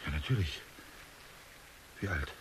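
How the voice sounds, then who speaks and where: A middle-aged father in a radio drama, bitter and quick to anger. His scolding, brooding delivery swings widely in pitch, over faint background noise. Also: lively intonation. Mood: stunned. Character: agitated, bitter father of a grown son, easily enraged. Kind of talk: German radio drama acting.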